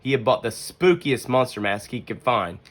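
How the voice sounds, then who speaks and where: A storybook reader giving expressive scripted narration for children. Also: mid-range voice, moderate pace.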